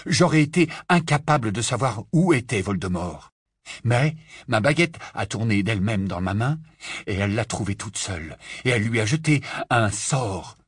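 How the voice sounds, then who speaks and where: A man's voice: fairly low pitch, expressive, moderate pace, slightly rough, no room sound, clean studio sound. A man voicing a stubborn teenage boy. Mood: insistent. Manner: insistent, clipped.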